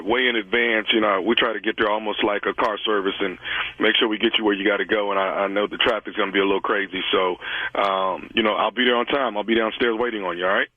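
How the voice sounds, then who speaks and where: A man playing a polite, hesitant hearse driver on a prank phone call, halting yet matter-of-fact and reassuring. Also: medium pitch, no echo, voice pushed down, close to an everyday voice. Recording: dry, dull phone-call audio.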